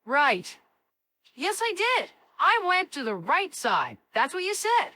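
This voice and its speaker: Bright, high-pitched cartoon kid. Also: female voice, huge pitch swings, takes it slowly, no echo, clean studio sound, heavily acted. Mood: argumentative. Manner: exclamatory, dramatic delivery. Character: spirited cartoon kid, brash, excitable and cocky. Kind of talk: animated series voice acting.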